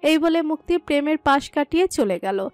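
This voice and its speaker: High-pitched woman narrating a story. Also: expressive, moderate pace, a little mellow, faint music behind. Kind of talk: scripted romantic story narration.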